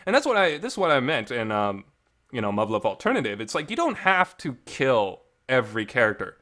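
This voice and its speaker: Bright-voiced man in emphatic casual talk. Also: medium pitch, sing-song, a little fast. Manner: emotional, emphatic, mild swearing. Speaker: host of a visual novel review show. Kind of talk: casual unscripted review talk. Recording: reverberant amateur home recording.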